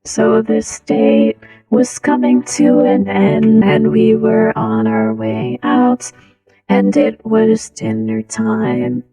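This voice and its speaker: A woman's voice: a little high, big pitch swings, creaky, warm tone, far from the mic, soft background music, poor audio. A woman telling a casual, humorous personal story, her voice run through a voice changer. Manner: slow, giggly delivery through a voice changer. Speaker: storyteller, content creator.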